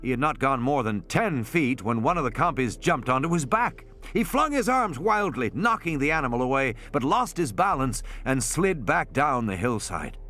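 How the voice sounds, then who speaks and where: A man narrating a suspense novel as an audiobook, in an expressive, dramatic delivery. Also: mid-range voice, normal speed, few pauses. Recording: added music and sound effects underneath. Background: music and sound effects.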